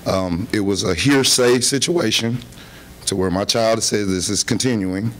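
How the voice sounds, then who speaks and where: Low-voiced man speaking haltingly at a meeting. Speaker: parent giving public comment. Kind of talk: unscripted public address to a board. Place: public meeting room, indoors.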